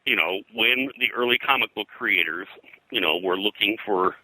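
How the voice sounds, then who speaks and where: A man recounting history as an interview guest, in a halting, digressive, detail-heavy way. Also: fairly low pitch, sharp tone, no room sound, rough recording. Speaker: pop culture historian, guest.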